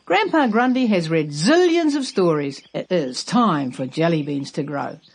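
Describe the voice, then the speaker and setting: Lady doing a nosy storybook neighbour woman, big drawn-out exclamations, very swoopy. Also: on the low side, expressive, faint music behind. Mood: scheming.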